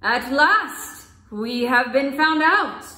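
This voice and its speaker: A woman's voice: high-pitched, animated, slow pace, echoey room, exaggerated voice. A woman voicing a kind male spirit who speaks with a smile. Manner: warm, smiling.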